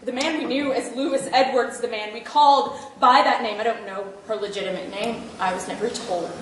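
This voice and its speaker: An actress playing a rigid, devout and questioning grandchild in a staged play reading. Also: female voice, on the high side, fairly even intonation, a little fast, a bit bright, natural voice. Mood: stern, testifying. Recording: very echoey stage, distant mic, noisy.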